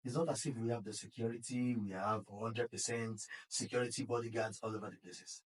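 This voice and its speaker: A man's voice: mid-range voice, steady tone, fairly quick, recorded from a distance, rough recording. A Nigerian man talks in an earnest, exhorting way, with a mid-range voice. He sounds a bit set back from the microphone, as a podcast founder being interviewed. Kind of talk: casual interview.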